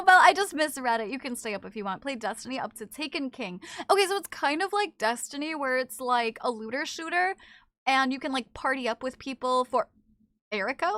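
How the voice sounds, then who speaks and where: Woman streamer, high and bright voice, animated and teasing, dry close sound. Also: no echo. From not from Japan. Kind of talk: casual live-stream chat.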